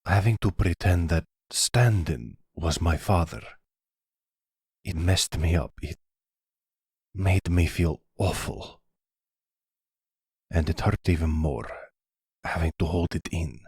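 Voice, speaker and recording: A man's voice: sits low, takes it slowly, long pauses, a little mellow, husky, dry recording, clean studio sound, full character voice. A man playing a troubled, emotionally raw young son, speaking hesitantly and earnestly in an audio drama. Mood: sad, confessional. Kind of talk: intimate audio roleplay drama.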